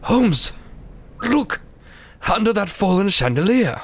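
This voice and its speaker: A man playing an excitable, loyal doctor sidekick in a radio play, flustered and prone to startled exclamations. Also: high-pitched, slow, full character voice. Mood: alarmed. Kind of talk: community radio theater acting.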